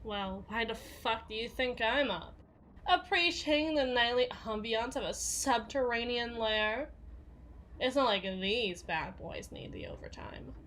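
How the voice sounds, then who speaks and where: A woman's voice: fairly high pitch, animated, measured pace, a bit bright, a little hiss or hum. A woman playing a tough, foul-mouthed, guarded bodyguard for a villain in an ASMR roleplay, with a sardonic, slangy delivery. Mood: sardonic, weary. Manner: sardonic, swearing, casual slangy drawl. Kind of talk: ASMR roleplay, acted monologue.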